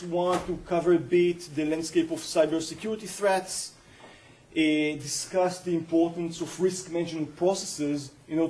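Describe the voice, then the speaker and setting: A middle-aged man with an Israeli accent, lecturing to a university audience. His voice sits a touch high for a man but sounds soft and mellow rather than bright. He explains things earnestly, with frequent hesitations and restarts as he finds his words. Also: rough recording. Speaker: company founder giving a guest lecture. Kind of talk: university colloquium talk.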